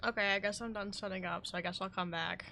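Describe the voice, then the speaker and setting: A woman's voice: medium pitch, fairly even intonation, fast talker, pushed and bright, slight background noise. A woman game streamer chatting casually over gameplay, rambling and asking herself questions as she goes. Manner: rambling, self-questioning asides.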